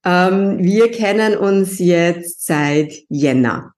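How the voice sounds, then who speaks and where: A woman's voice: lowish voice, lively intonation, extremely slow, darkish tone. A woman, hosting a podcast and asking her guest encouraging questions. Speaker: podcast host, linguist. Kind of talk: podcast interview.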